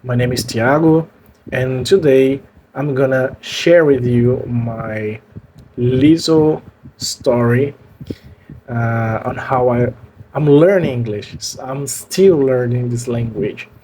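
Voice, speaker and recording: Brazilian guy, portuguese accent, talks real slow with lots of uhs, bit of background hiss. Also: mid-range voice, warm tone, rough recording. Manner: hesitant, many fillers and restarts. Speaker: language vlogger. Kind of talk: casual talk to camera.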